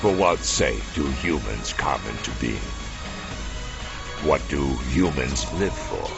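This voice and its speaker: A brooding dark fantasy narrator speaks extremely low, with gravel in every phrase. He is solemn, slow and portentous, reading scripted narration over clear music in slightly muffled audio. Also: lively intonation, full character voice. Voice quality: deep, gravelly.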